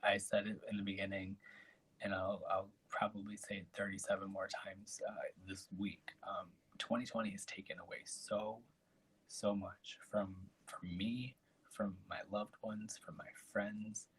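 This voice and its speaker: A man, hosting a casual live stream and chatting in a soft, rambling way. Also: lowish voice, little pitch movement, moderate pace, low-quality recording. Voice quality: raspy. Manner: soft, breathy and rambling, with trailing asides.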